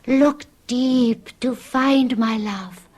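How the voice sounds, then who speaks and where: An actress playing a seductive, mysterious woman in a radio drama, speaking slowly in a breathy, hushed, entreating way. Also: a little high. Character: seductive mysterious woman calling a lover back to a past life. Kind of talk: 1970s radio drama acting.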